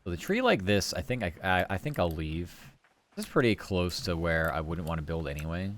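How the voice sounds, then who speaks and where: A man livestreaming gameplay, chatting casually with viewers in a cozy, easygoing voice, musing aloud and trailing through his thoughts. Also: low-pitched. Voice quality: comfy, cozy tone.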